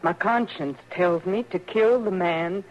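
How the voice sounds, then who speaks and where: A woman with a rural American accent playing a bitter, grief-stricken frontier mother in a radio western, stark and halting. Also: lowish voice, lightly acted. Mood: bitter, resolved. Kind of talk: 1950s radio western acting. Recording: muffled, noisy old radio recording.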